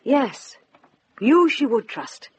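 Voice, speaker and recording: An actress playing a guarded but loyal young wife in a radio drama, breathy and hesitant. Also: female voice, high voice, very animated, takes it slowly, heavily acted. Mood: earnest, relieved.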